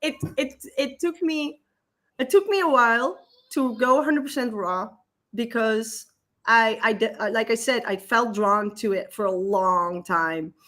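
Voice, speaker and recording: A woman in her thirties with a Dutch accent, a guest telling a personal story in a halting, emotional way, often repeating words. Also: on the high side, fairly bright tone. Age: 30s.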